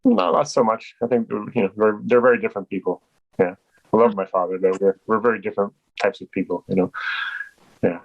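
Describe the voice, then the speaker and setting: A man's voice: fairly low pitch, brisk, creaky, no room sound, phone-quality sound. A Polish-born man answering interview questions in a rambling, casual way with many restarts. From Poland. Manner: rambling, full of restarts and fillers. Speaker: guest.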